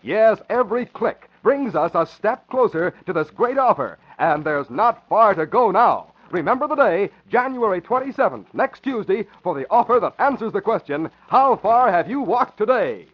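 A man's voice: high-pitched, very animated, normal speed, few pauses, slightly rough. An American man announcing in scripted 1940s radio style, with an emphatic, promotional delivery. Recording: muffled vintage radio broadcast.

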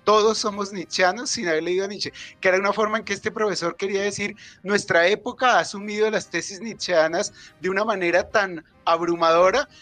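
A man's voice: high-pitched, steady tone, brisk, hardly any pauses, sharp tone, soft background music. A middle-aged man discusses literature as a guest author, explaining discursively with many hesitations. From Colombia. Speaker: author, guest at a book presentation.

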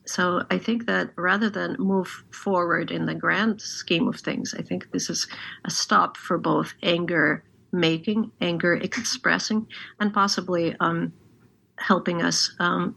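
A woman from Ukraine whose first language is Russian, speaking in a thoughtful, measured way with many pauses on a panel. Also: fairly low pitch, fairly even intonation, gravelly, a bit bright, husky, low-quality recording. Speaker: translator on a panel. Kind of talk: panel discussion.

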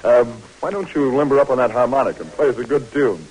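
An actor playing a kindly, reassuring man in an old radio drama, husky and fatherly, on a reverberant old recording. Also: mid-range voice, lively intonation, quick pace, a little mellow, slight background noise, natural voice. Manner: fatherly, soothing. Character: kindly, reassuring American Red Cross man. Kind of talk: old-time American radio drama acting.

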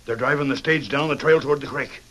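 Man playing a blustery high-voiced Western sheriff. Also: fast, a bit bright, natural voice. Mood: impatient. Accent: American Western. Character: stubborn, suspicious old-West town sheriff. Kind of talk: old-time radio western acting. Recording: faintly noisy old radio recording.

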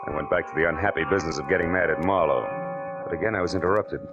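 An American actor playing a wry, hard-boiled private detective in a 1940s radio drama, sardonic and slangy in clipped phrases. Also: male voice, sits low, racing, lo-fi sound, close to an everyday voice. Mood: wry, brooding. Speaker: lead actor and narrator. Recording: vintage radio drama with music behind.

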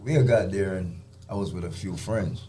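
A man from Jamaica, a guest on a radio morning show, telling a story casually and acting out quoted lines with loud high bursts. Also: moderate pace, a little hiss or hum.

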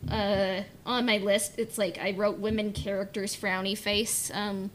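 A woman's voice: medium pitch, flat delivery, measured pace, slight background noise. A woman chatting casually on a podcast panel in a hesitant, filler-heavy way, saying "like" often. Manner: hesitant, peppers talk with "like". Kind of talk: casual chat.

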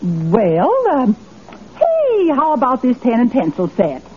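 A woman's voice: on the high side, huge pitch swings, slow, heavily acted. An actress playing a chatty, gullible, sassy working-class woman with folksy gossip in old-time radio comedy. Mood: chatty, eager. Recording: dull, noisy vintage radio recording.

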